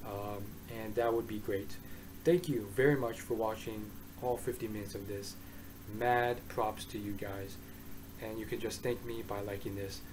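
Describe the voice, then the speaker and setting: Hoarse, excitable man reviewing. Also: on the low side, normal speed, rough recording. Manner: excitable, rambling, with many restarts. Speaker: manga reviewer. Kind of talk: casual review monologue.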